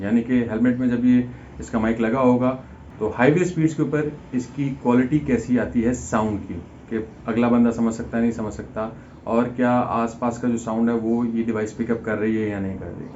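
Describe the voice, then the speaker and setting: A man's voice: medium pitch, mellow. A man giving an explanatory product review in a conversational way, mixing English terms into his speech. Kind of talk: unboxing and review talk, explanatory. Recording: dull lo-fi sound, faint background noise.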